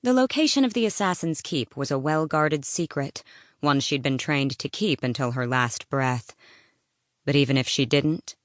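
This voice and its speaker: A woman's voice: very deep voice, no room sound, studio quality. A woman narrating an audiobook, reading scripted fiction in a dramatic storytelling style.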